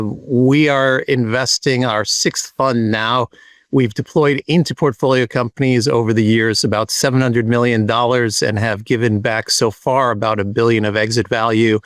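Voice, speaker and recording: A man, a venture capitalist, introducing himself on a panel in a crisp, factual way. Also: mid-range voice, no room sound. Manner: crisp, factual, few fillers.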